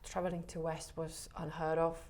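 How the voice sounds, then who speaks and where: A woman speaks in a fairly low, level voice that barely rises or falls. She talks softly and hesitantly, pausing often and filling gaps with small sounds, as a guest in a relaxed interview. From Czech Republic. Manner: soft, hesitant, many pauses and fillers. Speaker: photographer guest.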